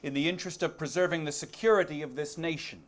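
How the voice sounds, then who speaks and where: A man's voice: on the high side, fast talker, close to an everyday voice. A man playing an indignant congressman in a dramatic hearing reading, formal and accusatory as he presses the witness.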